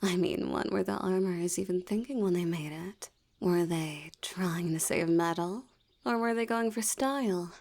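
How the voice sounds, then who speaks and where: A woman's voice: lowish voice, moderate pace, a little mellow. A woman voicing a seductive, teasing female vampire in an ASMR audio roleplay, often dropping into a whisper.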